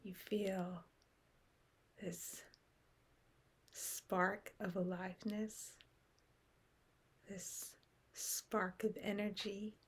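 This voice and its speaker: A woman leading a guided meditation in short, unhurried phrases with long pauses, softly trailing into breath at the ends. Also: mid-range voice, little pitch movement, breathy edge. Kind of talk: guided meditation, unscripted and contemplative.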